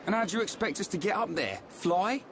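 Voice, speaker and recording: An actor playing a brash, plain-spoken young adventurer in a video game, blunt and expressive. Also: male voice, sits high, brisk, noisy background. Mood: skeptical. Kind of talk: video game cutscene acting.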